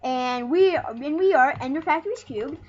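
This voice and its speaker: An excitable, rambling host giving casual, jokey commentary over a video game. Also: sits high, normal speed. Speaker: host of a gaming channel. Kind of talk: casual let's play commentary. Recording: dull lo-fi mic with loud noise.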